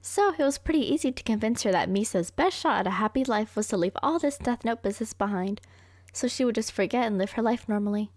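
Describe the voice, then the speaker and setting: A woman's voice: on the high side, animated, fast talker, no room sound, clean studio sound. A woman playing a smug, brilliant, manipulative young serial killer girlfriend in an anime roleplay, boastful and coaxing. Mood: sweetly manipulative. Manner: boastful, coaxing, self-satisfied.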